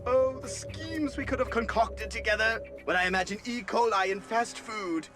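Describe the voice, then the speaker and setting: Game villain role: male actor as scheming alien commander, very high voice, pompous, quick to rant, music underneath. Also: close to an everyday voice. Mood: wistful, bitter. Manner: pompous, theatrical, wordy. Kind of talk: video game cutscene acting.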